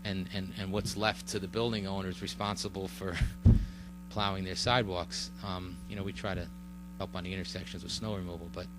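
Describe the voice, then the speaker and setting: A man speaking at a village board meeting, explaining a road project in a halting way with many fillers and restarts. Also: deep voice, level tone, over loud music, rough recording. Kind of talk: public meeting testimony. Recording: mains hum under the voice.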